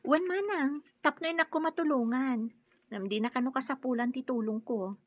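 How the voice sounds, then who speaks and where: High, husky, well-meaning woman. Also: normal speed, dry recording, dull audio. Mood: earnest, explaining. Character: well-meaning, helpful woman, patient and a bit chatty. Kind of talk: Ilocano radio drama acting.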